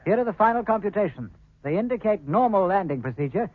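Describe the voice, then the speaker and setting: High, formal control operator. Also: male voice, animated, fast, no echo. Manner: formal, procedural. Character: efficient, formal male moon-base control operator. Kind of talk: 1950s radio serial acting. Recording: dry, muffled vintage radio broadcast.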